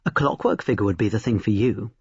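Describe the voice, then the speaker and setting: A man's voice: on the low side, fast, breathy edge, no echo, dull audio. A man voicing a tart, spiteful young woman in a storybook reading, with sly, cutting delivery.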